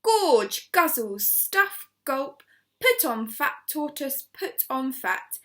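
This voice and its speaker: A woman voicing an eager, confident older man who explains and coaxes in an emphatic, deliberate way, reading from a storybook. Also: high voice, sing-song, voice pushed up, exaggerated voice. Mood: confident, explaining.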